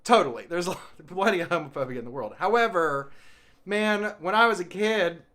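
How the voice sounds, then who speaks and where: A man's voice: sits high, expressive, normal speed, bright, forceful tone. A man from the American South, rambling through a comic monologue and often laughing at his own jokes. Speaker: comedian vlogger.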